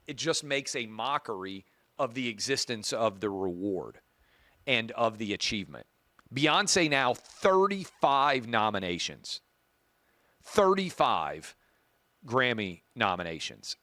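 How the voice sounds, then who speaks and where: A man with a booming voice hosting a talk show, giving emphatic opinion commentary and repeating key points for effect. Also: sharp tone, no echo.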